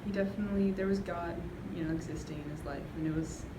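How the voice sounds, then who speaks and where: A woman's voice: fairly low pitch, fairly even intonation, quick pace. A young adult woman talking casually and reflectively in a group. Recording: echoey room with background noise.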